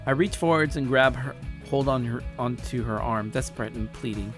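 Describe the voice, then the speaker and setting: A man's voice: mid-range voice, moderate pace, soft background music. A man reading a story aloud in a casual way, stumbling over words and correcting himself. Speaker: video maker reading aloud a story game. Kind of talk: casual read-aloud narration with asides.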